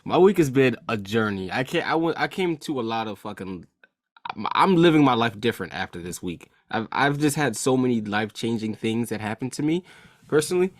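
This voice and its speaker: A man's voice: medium pitch, expressive, fairly quick, dry recording, studio quality. A young man co-hosting a casual podcast, joking and swearing freely. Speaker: podcast co-host.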